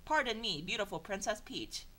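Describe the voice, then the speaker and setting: A woman's voice: mid-range voice, moderate pace. Young woman reading garbled text with sarcasm. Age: young adult. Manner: deadpan reading broken by exasperated sarcastic asides; stumbles and self-corrects over garbled sentences. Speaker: channel host reading fan fiction. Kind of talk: comedic dramatic reading.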